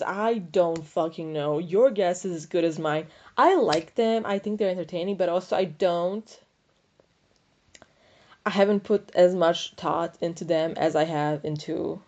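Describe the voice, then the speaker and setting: A woman with a slightly low voice talks casually, as if working out her opinions on the spot. She rambles and doubles back, using informal slang and swearing freely. The style is that of an unscripted book review podcast. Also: darkish tone, a little hiss or hum. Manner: rambling, opinionated, thinking aloud.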